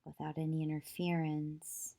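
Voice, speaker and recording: A woman guides a meditation in a low, mellow voice with a very flat, even pitch. She speaks in short, soothing phrases with long pauses between them, like a yoga teacher leading deep relaxation. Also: creaky.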